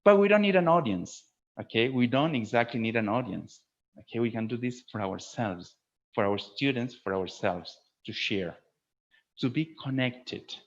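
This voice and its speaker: A man's voice: mid-range voice, lively intonation, normal speed. Dull-sounding webinar audio, man teaching teachers. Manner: didactic, frequently checks in with "okay?", emphasises key words. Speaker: webinar presenter, language teacher trainer. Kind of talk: webinar talk to fellow teachers.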